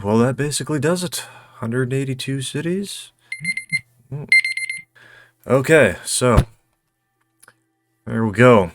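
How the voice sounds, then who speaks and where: A man's voice: fairly low pitch, no echo. A man with a North American accent thinking aloud as he plays a geography quiz, musing hesitantly and muttering to himself in a casual commentary. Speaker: host playing a geography quiz.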